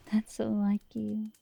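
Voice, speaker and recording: A woman playing a brash but secretly tender dragon girl sorceress in an intimate ASMR roleplay, soft and sometimes whispering, teasing and affectionate, close to the mic. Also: mid-range voice, exaggerated voice. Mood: playful, gentle. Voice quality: soft, breathy whisper at times.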